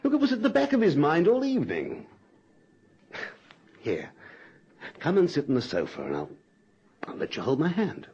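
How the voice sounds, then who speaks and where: An actor playing a direct, talkative, self-assured suitor in a radio drama, teasing and persistent. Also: male voice, fairly high pitch, wildly swooping pitch, moderate pace, pauses often, a little mellow, breathy edge, heavily acted. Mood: flirtatious, breezy. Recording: dull lo-fi old radio recording.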